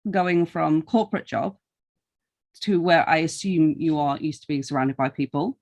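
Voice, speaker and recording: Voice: mid-range voice, normal speed, a little mellow. British podcast host, wry and conversational. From North East Lincolnshire, England. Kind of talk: casual conversational interview.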